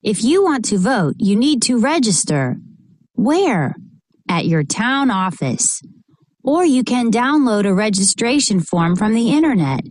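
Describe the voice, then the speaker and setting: A woman reading a children's picture book aloud in an expressive, deliberate way for young listeners. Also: on the high side, no echo, studio quality. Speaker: storybook reader.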